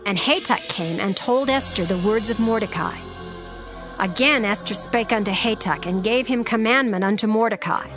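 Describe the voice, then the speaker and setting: A woman narrating a dramatized scripture reading in a measured, solemn way. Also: mid-range voice, over music.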